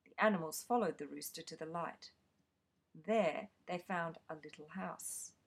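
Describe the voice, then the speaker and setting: A woman reading a children's fairy tale aloud, slow and expressive. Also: low-pitched, gravelly, breathy edge. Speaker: storyteller.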